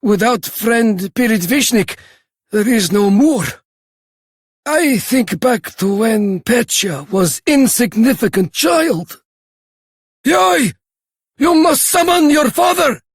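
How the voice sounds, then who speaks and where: Game elder: courteous, nostalgic grandfather, husky, animated intonation, broken English. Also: extremely high pitch, slow, dry recording, studio quality, exaggerated voice. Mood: urgent. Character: kindly old village grandfather, nostalgic and courteous.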